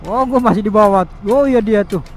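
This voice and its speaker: A man's voice: high-pitched, moderate pace, slight background noise. A man, explaining gear casually like a motovlogger over a helmet intercom. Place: outdoors, riding a motorbike on the road. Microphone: helmet intercom headset. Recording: intercom headset with background music.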